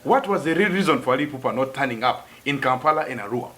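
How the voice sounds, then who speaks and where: A man's voice: mid-range voice, expressive, very fast, fairly bright tone. A man with a Ugandan accent hosting a comic interview in a playful, teasing manner. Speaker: satirical news show host.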